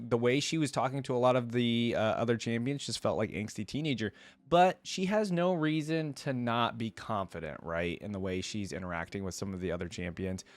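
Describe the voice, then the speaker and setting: A man's voice: lowish voice, no echo. A man giving casual reaction commentary as a video host, thinking aloud in an informal, rambling way.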